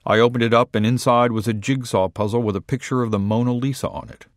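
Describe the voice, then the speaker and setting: Male reader, mid-pitched, deadpan and measured, dry close mic. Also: dry recording. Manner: measured, deadpan first-person narration. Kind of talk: literary fiction reading.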